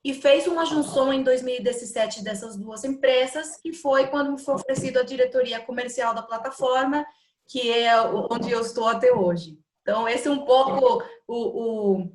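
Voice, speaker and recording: Woman, higher voice, measured and hesitant, roomy echo. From Colombia. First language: Spanish. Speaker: guest, trading company executive. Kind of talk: interview answers.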